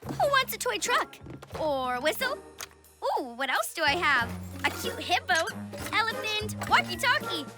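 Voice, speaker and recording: An actor playing an excitable young superhero child in an animated children's cartoon, exclamatory and wide-eyed. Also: extremely high pitch, a little slow, heavily acted. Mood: eager, generous. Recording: loud music behind. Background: loud cartoon score.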